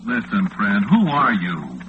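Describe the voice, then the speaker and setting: A man's voice: lowish voice, big pitch swings, takes it slowly. An actor playing a polite, flustered young male disc jockey who stammers in bewilderment, in old radio drama style. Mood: bewildered, nervous. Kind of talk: 1940s radio drama acting. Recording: tinny, noisy old radio recording.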